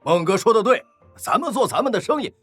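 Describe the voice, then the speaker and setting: An eager, agreeable male shopkeeper pipes up indignantly in an audio drama. His voice is very high and animated. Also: fast, soft background music. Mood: indignant agreement.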